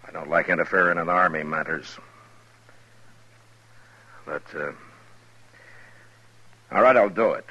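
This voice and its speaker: An actor playing a fair-minded, plain-spoken American marshal in a 1950s radio western. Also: male voice, low-pitched, unhurried, pauses often, sharp tone, husky, exaggerated voice. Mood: conciliatory. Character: gruff, fair-minded frontier marshal in an old West town. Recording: muffled vintage radio recording.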